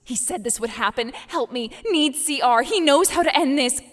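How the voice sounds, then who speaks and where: A woman's voice: sits high, normal speed, a bit bright. An actress playing a desperate, haunted woman pleading in short fragments, as an audio drama voice-over. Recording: long cavernous reverb.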